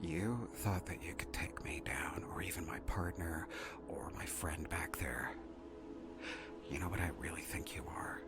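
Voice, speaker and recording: Casting: male actor as an inhuman menace, rock-bottom whisper, slow and contemptuous, under loud music. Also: rumbling low, exaggerated voice. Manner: slow, hushed, threatening whisper. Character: menacing anomalous being, coldly contemptuous. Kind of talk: audio drama acting.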